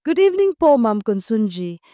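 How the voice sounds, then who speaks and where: A woman's voice: fairly high pitch, sing-song, normal speed, mellow, no echo, studio quality. A woman voicing an ambitious, ashamed young resort employee, guarded and hesitant, in an audio drama. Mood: professional, bright. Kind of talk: Tagalog audio drama dialogue.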